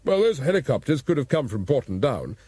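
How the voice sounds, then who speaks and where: A cautious, scheming assistant police commissioner, as a male narrator plays him talks in a lower, measured and deliberate way. His voice carries a husky grain, recorded close and dry. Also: big pitch swings, dark tone, no echo.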